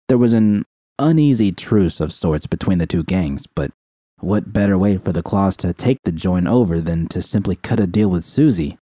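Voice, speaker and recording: A man's voice: deep voice, mellow, no echo. A man reading scripted first-person fiction as an audiobook narrator.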